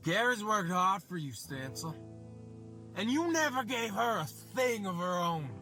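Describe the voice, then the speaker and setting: A man's voice: sits high, lively intonation, unhurried, sharp tone, faint music behind, exaggerated voice. A man playing an earnest, defiant cowboy suitor in an old western radio drama, with folksy, emphatic speech. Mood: angry.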